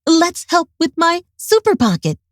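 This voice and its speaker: Woman as an eager, animated little boy hero. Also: very high-pitched, unhurried, no echo, clean studio sound, pitched above the performer's own voice, heavily acted. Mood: excited. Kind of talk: children's storybook character voice.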